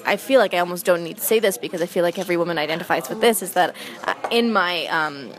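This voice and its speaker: A woman's voice: fairly low pitch, fast talker, soft background music. A woman, a comedian talking earnestly in a casual podcast chat, with many fillers. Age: 20s. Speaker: guest, comedian.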